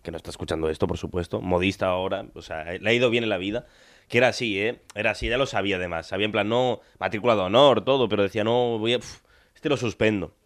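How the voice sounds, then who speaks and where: A young man from Argentina co-hosting a podcast, chatting casually in a rambling, joking way, with quick asides and laughs. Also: fairly low pitch. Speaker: podcast co-host. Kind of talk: casual banter.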